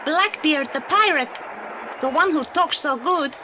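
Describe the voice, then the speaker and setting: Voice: high voice, expressive, a little slow, noisy background. An actor playing an earnest, polite young boy with a Spanish accent and broken English in an audio play. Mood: earnest, eager. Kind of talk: 1980s audio play acting.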